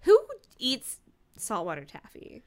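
A woman's voice: medium pitch, huge pitch swings, takes it slowly, a little mellow. A woman co-hosting a podcast, reading a novel aloud and adding wry, irreverent asides. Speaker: podcast co-host.

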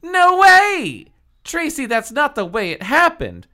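A woman's voice: high voice, wildly swooping pitch, slow pace, bright, forceful tone, voice pushed up, heavily acted. A woman voicing a wailing, panicked teenage girl from a thriller story, in a melodramatic, shrieking manner. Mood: shrieking indignation.

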